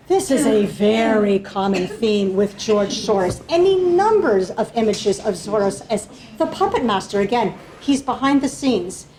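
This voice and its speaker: A woman's voice: medium pitch, a little mellow, poor audio. A woman speaking as a panelist, giving an academic lecture with slides in an explanatory way, often checking in with the audience.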